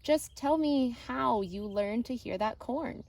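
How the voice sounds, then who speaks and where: A woman's voice: on the high side, takes it slowly, creaky, darkish tone, distant mic, pitched above the performer's own voice, heavily acted. A woman voicing a curious, eager young storybook character, earnest and questioning. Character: curious, eager young companion of an old man.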